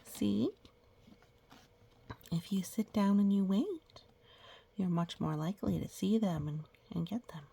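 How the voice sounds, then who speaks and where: A woman reading a picture book aloud to young children, gently pausing to explain things and ask questions. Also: mid-range voice, animated, vocal fry, husky. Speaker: children's story reader.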